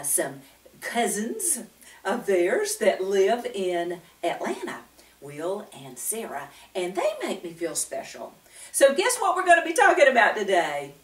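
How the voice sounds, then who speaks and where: An older woman hosting a children's Bible story time, speaking directly to young listeners with frequent questions and pauses between phrases. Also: mid-range voice. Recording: roomy, echoing, distant microphone.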